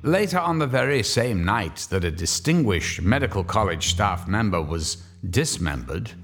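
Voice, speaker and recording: A man narrates a radio crime drama with a very deep voice that still rises and falls widely. He tells the scene in measured, vivid lines while music runs behind him. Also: sing-song, fairly bright tone.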